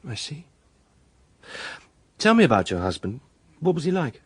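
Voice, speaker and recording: An actor playing a self-assured British defence barrister in a radio drama, husky, smooth-talking and probing. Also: male voice, a little high, big pitch swings, normal speed, full character voice. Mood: courteous, probing.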